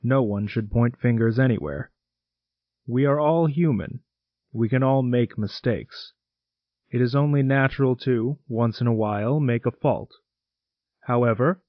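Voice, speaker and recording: A man's voice: mid-range voice, a little slow, long pauses, dry recording, lo-fi sound, lightly acted. An actor playing a solemn, reassuring male American president giving a wartime radio address. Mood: solemn, resolute.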